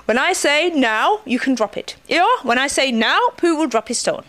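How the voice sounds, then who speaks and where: A woman voicing a bossy, impatient, officious male rabbit in a storybook, brisk and commanding. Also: sits high, expressive, a bit bright, pitched above the performer's own voice.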